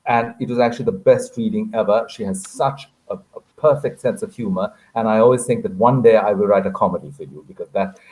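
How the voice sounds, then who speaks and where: A man hosting a conversational online event in a chatty, halting way, repeating phrases as he goes. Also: on the low side, a little mellow, poor audio. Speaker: moderator, host. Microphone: online video call.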